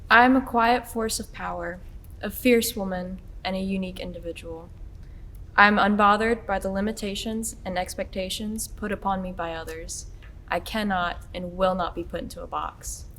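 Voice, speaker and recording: A woman's voice: medium pitch, steady tone, normal speed, fairly bright tone. A woman student delivering a scripted personal monologue. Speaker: student speaker.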